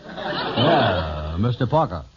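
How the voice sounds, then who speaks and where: A lowish, plodding sidekick, simple, folksy and forever puzzled. The old radio comedy recording is muffled. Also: male voice, big pitch swings, very slow, very noisy, exaggerated voice. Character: slow, simple, doubtful male sidekick. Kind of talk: 1950s radio sitcom acting.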